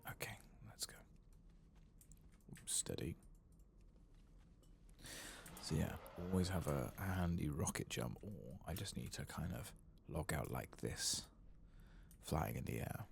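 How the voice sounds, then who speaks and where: A man giving soft-spoken ASMR commentary while gaming, murmuring and drifting into whispers. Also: a bass voice, animated, unhurried, creaky, pauses often, slight background noise. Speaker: gaming streamer, ASMR host.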